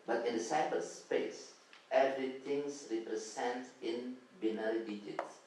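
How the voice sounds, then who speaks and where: A man's voice: medium pitch, steady tone, a little slow, darkish tone. A man answering at a conference session, explaining earnestly and searching for words. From Indonesia. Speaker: academic panelist. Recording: echoey conference hall, distant mic, faint noise.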